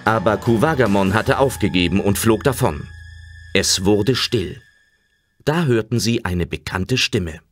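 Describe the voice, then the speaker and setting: A man's voice: on the low side, animated, measured pace, soft background music. A man narrating a German children's audio drama as a dramatic scripted storyteller.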